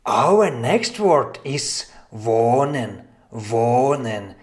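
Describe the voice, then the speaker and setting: Male language tutor for scripted beginner lessons: extremely unhurried, instructional, with animated intonation and deliberate repetition. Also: mid-range voice. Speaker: language teacher. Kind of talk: scripted language lesson with repeated example phrases.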